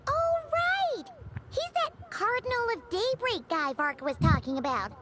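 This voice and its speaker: A woman's voice: very high voice, big pitch swings, measured pace, very noisy, heavily acted. A woman voicing a small, childlike, chatty and curious fairy companion in a video game, with music behind her. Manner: third-person childlike chatter. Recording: game audio with loud music.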